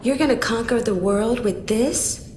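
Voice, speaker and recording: A woman's voice: a little high, unhurried, slight background noise, natural voice. An actress playing a villain's flippant female sidekick in a superhero film, blunt and casual, in a big echoing space. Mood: wry, skeptical. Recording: long echoey hall, loud music.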